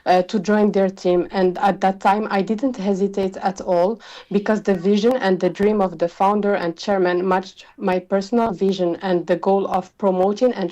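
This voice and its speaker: Moroccan-accented woman, mellow voice. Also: medium pitch, lo-fi sound. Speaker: interview guest, communications executive. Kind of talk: interview answers.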